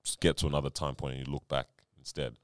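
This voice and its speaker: A man's voice: rumbling low, level tone, quick pace, no room sound. A man co-hosting a podcast, talking casually in slangy, conversational phrases and circling back over his thoughts. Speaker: podcast co-host. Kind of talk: casual chat.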